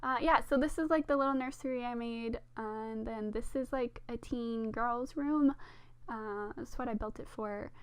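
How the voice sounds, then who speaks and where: A young woman with a sore throat, slightly hoarse, casually narrating a game build in a rambling, self-deprecating way with lots of fillers. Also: a little high, normal speed, dry recording. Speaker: Sims speed-build narrator. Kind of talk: casual voice-over commentary.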